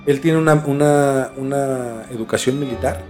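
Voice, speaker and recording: A Mexican man hosts a casual podcast in a mid-pitched voice, with music playing underneath. He tells things informally and haltingly, often doubling back on his words as he explains. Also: a little mellow. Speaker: podcast host. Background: background music.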